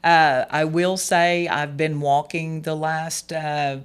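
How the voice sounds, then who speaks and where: A hoarse, slightly gravelly voice from someone in their forties, pitched in a plain middle register. They talk earnestly as a political candidate being interviewed, wandering through fillers and false starts. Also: little pitch movement, slow pace. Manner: rambling, many fillers and restarts, earnest. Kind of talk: radio interview guest.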